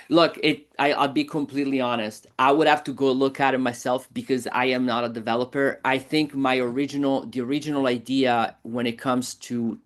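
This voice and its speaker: A man's voice: mid-range voice, flat delivery, normal speed. Man, presenter at online conference, candid, hesitant, lots of fillers.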